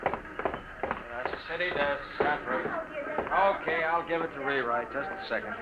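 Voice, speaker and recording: Man playing a flustered high-voiced young deskman. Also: a little slow, lo-fi sound, over loud music, natural voice. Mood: startled, incredulous. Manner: nervous, stammering. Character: flustered, shaky young male city desk editor. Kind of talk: 1950s radio drama acting. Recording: noisy vintage radio recording.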